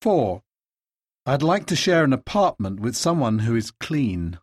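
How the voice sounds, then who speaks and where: A man reading scripted dialogue lines in a language lesson, steady and carefully articulated. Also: medium pitch, measured pace, no echo, studio quality.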